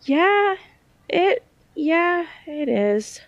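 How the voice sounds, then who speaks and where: A woman playing a hesitant, self-doubting young psychic in a naturalistic audio drama, speaking in halting phrases. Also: very high-pitched, very animated, no echo, dull audio, heavily acted.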